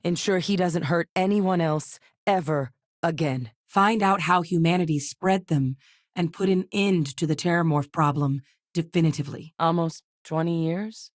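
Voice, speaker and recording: A woman voicing a brisk, dry military scientist. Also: fairly low pitch, no echo, lightly acted. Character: tough, no-nonsense female military scientist. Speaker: game character voice lines. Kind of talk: video game dialogue.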